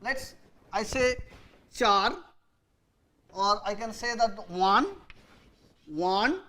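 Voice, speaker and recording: A middle-aged lecturer with a Bengali accent teaches in English before a classroom chalkboard, speaking into a lapel mic. His delivery is halting and full of restarts. He hits important words with a high, loud lift and then sinks into low, quiet endings. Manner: repeats and restarts phrases, pitch jumps up to stress key words then drops low. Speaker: university lecturer.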